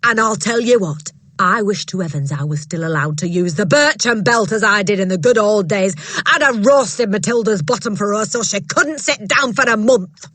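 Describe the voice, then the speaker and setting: A woman voicing a huge, scornful headmistress who barks and shouts at a teacher. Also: mid-range voice, moderate pace, dry recording, pitched above the performer's own voice, lightly acted. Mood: barking scorn. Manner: barking, shouting, contemptuous. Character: bullying, booming female headmistress, huge and scornful. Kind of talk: audiobook character voice.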